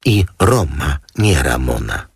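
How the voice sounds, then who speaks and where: A man voicing a composed, dryly witty woman lawyer who speaks in a calm, politely formal manner in an audiobook. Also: extremely low pitch, slow, husky, no room sound, studio quality, heavily acted. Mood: calm correction.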